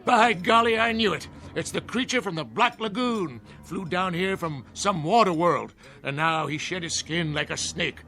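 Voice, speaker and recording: High sharp old american coot, folksy and suspicious, rambling radio play character, music underneath. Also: male voice, moderate pace, few pauses. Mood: gleefully alarmed. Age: older. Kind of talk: radio drama acting. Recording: music bed under the dialogue.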